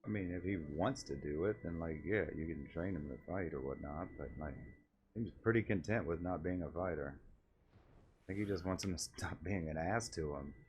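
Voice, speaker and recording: A man's voice: sits low, normal speed, a little hiss or hum. A man giving casual commentary as he streams his playthrough of a game.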